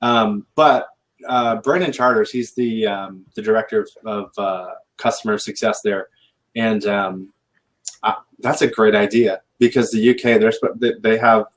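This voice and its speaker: A man's voice: lowish voice, steady tone, unhurried. A man talking casually as a guest on a recruiting panel, rambling and correcting himself as he goes. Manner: rambling, self-correcting, laid-back.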